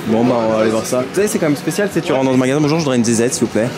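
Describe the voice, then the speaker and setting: A man's voice: mid-range voice, expressive, racing, over loud music. A man with a Parisian accent, a travel vlogger chatting and joking as he walks the city streets. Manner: chatty, jokey, laughs often. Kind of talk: casual vlog chat. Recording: street noise outdoors.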